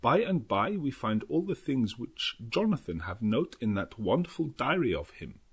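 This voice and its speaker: Earnest old professor, swooping intonation. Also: male voice, mid-range voice, big pitch swings, husky, exaggerated voice. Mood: weary, anxious. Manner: halting, non-native English phrasing, deliberate and dramatic. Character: elderly foreign professor, earnest, grave, devout vampire hunter. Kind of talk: dramatic audiobook narration of a journal.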